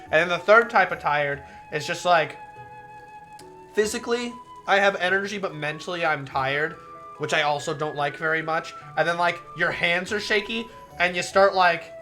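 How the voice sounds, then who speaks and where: A woman streamer chatting casually while playing a visual novel, rambling and swearing, with a soft, relaxing voice. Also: on the low side, moderate pace, soft background music. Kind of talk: casual livestream commentary over a visual novel.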